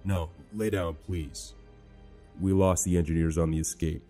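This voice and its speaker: A man putting on a gruff American general with a low, mellow voice. He talks calmly and steadily to a wounded man, with faint music under him. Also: a little slow. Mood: gentle. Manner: gruff, calm, reassuring.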